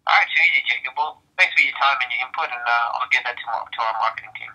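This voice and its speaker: A man working the phones for a company, polite and agreeable, with a halting, hesitant way of speaking. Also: mid-range voice, sharp tone, breathy edge. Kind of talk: customer service call. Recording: muffled phone line.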